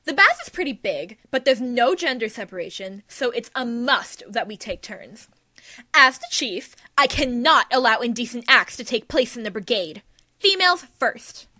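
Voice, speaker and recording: A woman acts out a headstrong teenage schoolgirl chief in an audio drama. Her voice is sharp and fairly high, swinging widely in pitch, and she orders people about brashly. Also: sing-song, normal speed, no room sound, exaggerated voice. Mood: commanding. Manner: commanding, brash. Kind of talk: animated audio drama acting.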